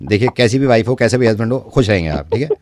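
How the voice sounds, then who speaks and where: A man's voice: medium pitch, expressive, very fast, darkish tone, no room sound. A man working as a radio prank caller, talking in an insistent, chatty way and cutting in on the other person. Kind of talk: prank phone call, improvised chat.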